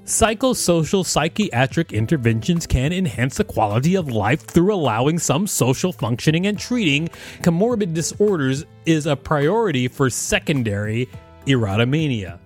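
A man co-hosting a podcast, reading an encyclopedia article aloud in a plain, steady way and joking between sections. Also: a little high, moderate pace, faint music behind. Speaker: podcast co-host and reader.

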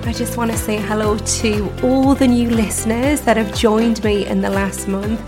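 A woman hosting her own podcast in a casual chat, her voice hoarse and croaky from laryngitis, talking with plenty of ums and restarts. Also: a little high, steady tone, normal speed, music in the background. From United Kingdom. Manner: warm chatty, many ums and restarts. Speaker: podcast host and mindset coach.

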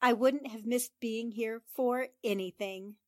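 Actress as smart young newspaperwoman, playful, poised, high pitch, dry close sound, 1940s radio play. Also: fairly even intonation, a little slow, a little mellow, dry recording, natural voice. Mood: playful, flattering. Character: smart, plucky young female newspaper reporter, playfully teasing. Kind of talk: 1940s radio drama acting.

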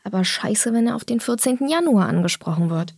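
A woman's voice: fairly high pitch, animated, fairly quick, creaky, a little mellow, breathy edge, no room sound, studio quality, exaggerated voice. A woman playing an earnest, defiant young climate activist answering questions in an audio drama interview. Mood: subdued, concerned.

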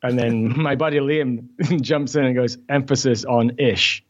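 A man's voice: medium pitch, steady tone, measured pace, highs cut off. A man in an interview, with a mixed British and Canadian accent, chatting easily, laughing often and using many fillers. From Scotland. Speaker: guest. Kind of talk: podcast interview.

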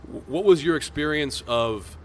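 A man from Miami co-hosting a casual podcast, thoughtful and measured, asking leading questions. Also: a little high, lively intonation, slight background noise. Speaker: podcast co-host.

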